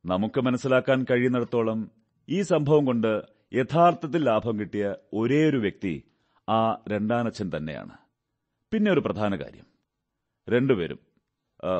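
An actor playing a shrewd, commanding male detective in a Malayalam radio drama, speaking in a measured, deliberate way. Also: medium pitch, darkish tone.

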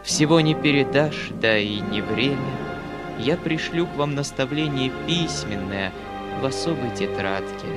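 A man's voice: mid-range voice, lively intonation, unhurried, loud music behind the voice, natural voice. A man voicing a pompous, self-righteous, preachy male hanger-on in a theatrical audiobook manner. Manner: haughty, preachy, theatrical, repetitive. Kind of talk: dramatic audiobook character acting.

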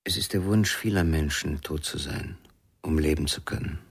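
An actor playing a reflective, detached man in a radio crime drama, thoughtful and deliberate. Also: very deep voice, slightly rough.

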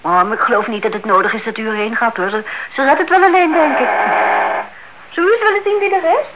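An actress playing a brisk, impatient niece who brushes off her aunt's worries, in a radio play on a noisy old recording. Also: a little high, muffled recording, close to an everyday voice. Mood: evasive. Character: brisk, impatient, dismissive niece looking after her aunt.

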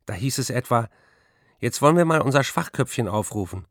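An actor playing a reflective writer who recalls his bookish childhood in measured, literary phrases for a radio play. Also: medium pitch, expressive, a bit bright, no echo, clean studio sound. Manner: measured, literary first-person recollection.

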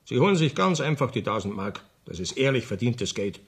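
An actor playing a reassuring, official police commissioner in a radio play. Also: male voice, mid-range voice, very animated, slightly rough, heavily acted. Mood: placating. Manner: measured, official, placating. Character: smooth-talking, reassuring male police commissioner. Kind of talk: 1970s German radio play acting.

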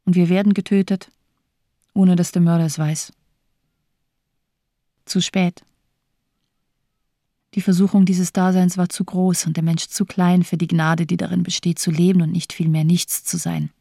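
A woman's voice: lowish voice, level tone, moderate pace, gravelly, long pauses, dark tone, no echo, clean studio sound. An actress playing a bitter, cynical doctor in her thirties in a radio drama, speaking in declamatory, rhetorical phrases. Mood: despairing. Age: 30s. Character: bitter, cynical morphine-addicted woman doctor of 34.

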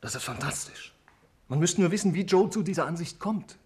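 A man's voice: fairly high pitch, lively intonation, a little fast, noisy background, natural voice. A man playing an eager, practical scientist who explains things precisely in a 1950s German radio drama. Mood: keen. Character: male scientist, eager, practical problem solver.

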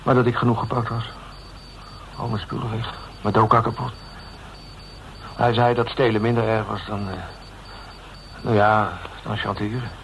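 A man's voice: on the low side, flat delivery, fairly bright tone. Radio drama role: frightened male colleague, guilt-laden, stumbling over words, faintly noisy recording. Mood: ashamed, halting. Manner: halting, stammering, repeats words. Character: frightened, guilt-ridden man confessing to his detective colleagues. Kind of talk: Dutch radio drama acting.

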